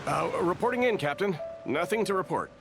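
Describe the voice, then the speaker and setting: An actor voicing a dutiful guard in a video game who gives stiff, routine reports. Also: male voice, high-pitched, lively intonation, a little fast, creaky, over music. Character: dutiful male soldier on guard, dull routine.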